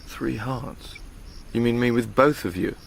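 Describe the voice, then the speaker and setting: A man's voice: medium pitch, fairly even intonation, unhurried, creaky, slight background noise. An actor playing an educated young Englishman, wry and questioning, in naturalistic radio drama dialogue. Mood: curious.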